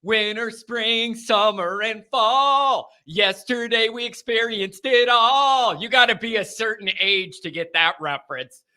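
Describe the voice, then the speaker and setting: A man's voice: sits high, a little slow, few pauses. A man hosting a sports talk show, chatting casually and energetically while his voice sounds strained. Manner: energetic, chatty, loud openers. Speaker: sports podcast host.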